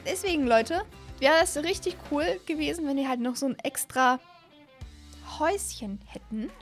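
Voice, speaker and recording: High-pitched young woman, casual gaming chat. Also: lively intonation, moderate pace, soft background music. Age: young adult. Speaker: gaming video host. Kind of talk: casual chat, game commentary. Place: small indoor room at home. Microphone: microphone on a boom arm close to the mouth.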